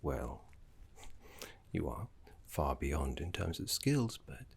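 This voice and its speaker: A man playing a genteel, fussy, courteous professor of necromancy in an ASMR roleplay, speaking in a soft, breathy, formal way with dramatic pauses. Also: a bass voice, sing-song, exaggerated voice. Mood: soothing, encouraging.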